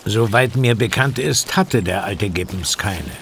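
An actor playing a self-assured, pedantic fire investigator in a radio play, explaining things in a precise, lecturing, technical way. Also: male voice, on the low side, lively intonation, measured pace, gravelly, fairly bright tone, a little hiss or hum, full character voice. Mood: obliging. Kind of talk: German audio drama acting.

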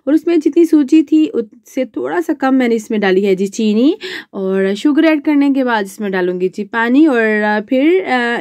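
A woman's voice: a little high, warm tone, no echo. A woman, chatting politely through a home cooking video as a mother and vlogger. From Pakistan. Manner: chatty, polite with frequent "janab" and "ji". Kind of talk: casual cooking voice-over.

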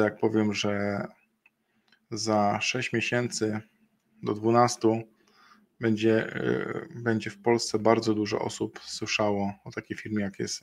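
A middle-aged man presenting a webinar over a video call, explaining a bonus program in a halting way with frequent fillers and restarts. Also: on the low side. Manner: halting, many fillers and restarts, slow deliberate pace in places. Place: indoor room, video call.

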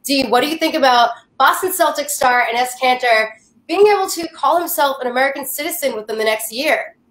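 A woman's voice: high-pitched, fairly quick, a bit bright. A young woman hosting an upbeat interview show over a video call from a room at home. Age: young adult. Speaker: show host. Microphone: video call microphone. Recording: roomy video call sound.

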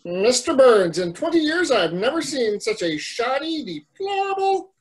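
Casting: woman playing a stern, formal inspector for a cartoon comedy, high voice, swooping with indignation. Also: big pitch swings, unhurried, low-quality recording. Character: stern, incorruptible government safety inspector. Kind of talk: amateur table read of a cartoon comedy.

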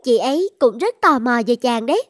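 A woman playing a young well-born maiden in an old-time animated fairy tale. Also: a little high, brisk, dry recording, studio quality. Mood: affectionate.

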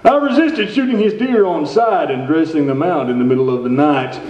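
A man's voice: a little high, a little fast, a little mellow, lightly acted. A man playing a folksy redneck storyteller and host, reading theatrically in a live stage radio show. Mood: storytelling. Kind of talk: live old-time radio show performance. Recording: roomy, dull stage recording.

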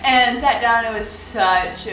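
A woman's voice: a little high, slow pace. A young woman telling trip stories on a couple's vlog from her living room, bubbly and chatty. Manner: bubbly, lively storytelling. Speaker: vlogger. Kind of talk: casual couple vlog chat. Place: home living room, indoors. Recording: roomy echo with faint music.

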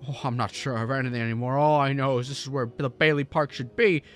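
A man's voice: medium pitch, fairly even intonation, fast, soft background music, natural voice. An actor in a radio drama playing a distraught everyman husband and son, stammering and pleading urgently. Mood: agitated disbelief.

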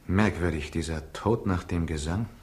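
An actor playing a calm, laconic male investigator in a 1960s radio crime drama, asking in a terse, pensive way. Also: sits low, moderate pace, natural voice. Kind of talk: 1960s German-language radio drama acting. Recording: noisy old radio recording with clicks.

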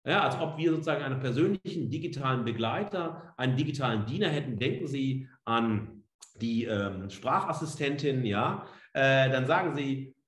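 A middle-aged man lectures in an echoey room. His pitch is mid-range and moves in lively swings. He speaks freely and emphatically, in a colloquial professor's way. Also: moderate pace. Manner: emphatic, colloquial, punctuates with rhetorical questions and tags. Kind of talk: public lecture, spoken freely.

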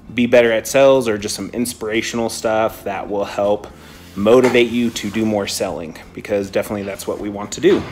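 A man in his thirties, a print shop coworker, joking casually into a phone held at arm's length. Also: lowish voice. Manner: jokey, chatty, self-mocking. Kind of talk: casual chat to camera. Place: print shop storeroom indoors.

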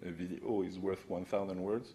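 A man's voice: low-pitched, flat delivery, normal speed, dark tone, a little hiss or hum. A man in his forties giving a technical conference talk at a podium, explaining casually and often checking in with "right?". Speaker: conference speaker. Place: conference room, large indoor hall. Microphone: podium microphone.

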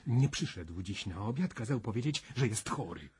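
Very husky anxious professor. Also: male voice, lowish voice, lively intonation, normal speed, darkish tone. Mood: anxious, courteous. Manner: polite, worried. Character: anxious, courteous weary male professor. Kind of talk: radio drama acting.

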